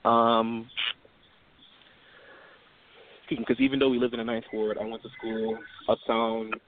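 A man's voice: on the low side, level tone, unhurried. A man, calling in and talking politely with many restarts and apologies. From Louisiana, USA. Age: 20s. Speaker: caller. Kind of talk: casual call-in chat. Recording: tinny phone line with faint noise.

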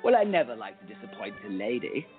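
An actor playing a vain, clever, foppish villain in a radio play, with an arch, mocking, theatrical drawl. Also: male voice, medium pitch, big pitch swings, quick pace, close to an everyday voice. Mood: suavely gallant. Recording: muffled phone-like line with music.

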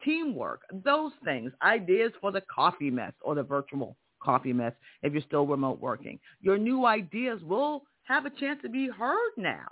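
A man's voice: high voice, big pitch swings, normal speed, few pauses. A man with a strong voice hosting a career podcast, giving an emphatic motivational monologue full of rhetorical questions. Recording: tinny phone-like audio.

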